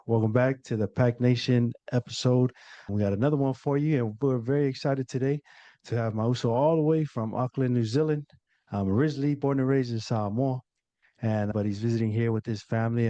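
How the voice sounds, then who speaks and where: A man hosting a podcast interview, chatting casually with lots of fillers in a relaxed, laid-back way. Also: mid-range voice, brisk, dry recording, highs cut off. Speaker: podcast host.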